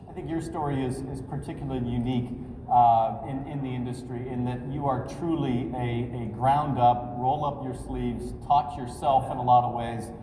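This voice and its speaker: A man's voice: medium pitch, steady tone, normal speed, dark tone. A middle-aged man hosting an interview, asking long, friendly questions padded with "uh" and "um.". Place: large indoor auto show hall. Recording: echoey hall, distant mic, crowd noise.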